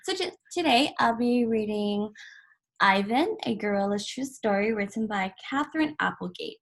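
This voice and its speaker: A woman reading a children's picture book aloud as a volunteer story reader, in measured phrases with pauses between them. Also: medium pitch, animated.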